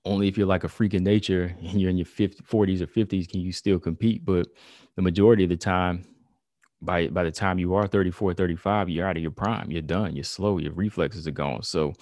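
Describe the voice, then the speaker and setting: A man's voice: low voice, fairly even intonation, fairly quick, a little mellow, no echo. A man, chatting casually into the mic. His talk is loose and conversational, full of "you know" and "y'all". The sound is close and dry, with no room around it. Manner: conversational, heavy on "you know" and "y'all", reads quotes aloud. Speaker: combat sports commentator. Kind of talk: casual commentary monologue.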